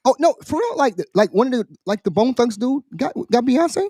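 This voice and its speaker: A man's voice: very high voice, lively intonation, mellow, breathy edge, no echo, clean studio sound. Guy hosting a podcast, kinda stop-start, repeats himself, voice jumps around a lot, asking stuff. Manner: halting, repeats and restarts phrases while building up questions. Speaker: podcast host posing a question. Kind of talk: casual podcast discussion.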